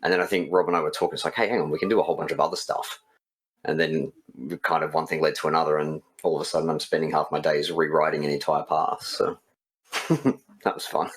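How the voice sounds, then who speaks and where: A man's voice: extremely low pitch, flat delivery, fast. A man who develops and writes games, talking casually in an interview.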